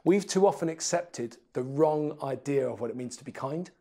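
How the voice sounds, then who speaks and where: This is an English man with a fairly high-pitched voice. He talks as an earnest interview guest, pressing each point with emphasis. He often puts rhetorical questions to make his case. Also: moderate pace, warm tone. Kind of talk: animated interview talk. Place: small indoor studio room. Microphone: lapel mic.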